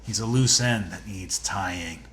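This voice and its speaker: An actor playing a smug, ruthless schemer in a video game, with a dry, suave, calculating delivery. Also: male voice, sits low, measured pace, a bit bright, slight background noise. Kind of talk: video game character acting.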